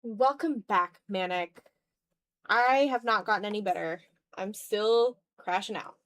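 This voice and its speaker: A woman's voice: mid-range voice, measured pace, fairly bright tone, poor audio. A woman livestreamer chatting casually while drawing, thinking aloud in fragments with a self-deprecating manner. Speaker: art livestreamer.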